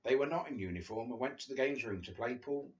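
A man's voice: mid-range voice, quick pace. An English man in his fifties reading a memoir aloud in an informal, amused way. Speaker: guest reader. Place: small living room, seated on a sofa. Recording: dull lo-fi video call audio.

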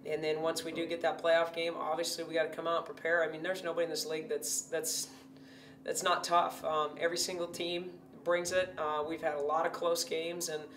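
Woman in her forties, basketball coach, even measured talk, heard from a distance in a roomy space. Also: low voice. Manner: steady, measured, many fillers. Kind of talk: post-game interview. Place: indoor press backdrop. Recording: roomy, distant, faint hum.

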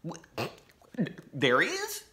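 A man's voice: fairly high pitch, wildly swooping pitch, extremely slow, sharp tone, pitched above the performer's own voice, exaggerated voice. A man voicing a timid, stammering small-town sheriff father in a storybook reading. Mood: nervous. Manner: stammering, nervous, giggly. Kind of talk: storybook read-aloud.

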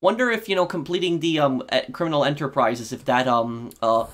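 A man hosting a gaming video, giving casual playthrough commentary in a halting, think-aloud way. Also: a little high, fairly bright tone.

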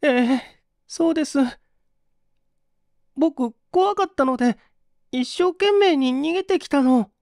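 A man voicing a frightened young schoolboy who answers timidly and haltingly, in a storybook reading. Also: extremely high pitch, vocal fry, darkish tone, no echo, studio quality, pitched above the performer's own voice, full character voice.

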